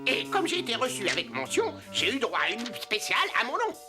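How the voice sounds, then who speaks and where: A woman voicing a cocky, excitable monkey detective in a cartoon, boastful and theatrical. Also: on the high side, fairly quick. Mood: pompous, sleuthing. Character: cocky excitable monkey playing self-important private detective. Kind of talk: animated series dialogue. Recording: music under the voice.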